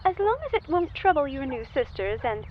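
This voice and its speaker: A sweet, fussy mother, played by a woman, high-voiced and lilting with lively rises and falls. The dull home mic carries a faint hiss. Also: brisk. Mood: doting. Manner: fussy, sweet, a bit scattered. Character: doting middle-aged mother, affectionate. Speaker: mother character.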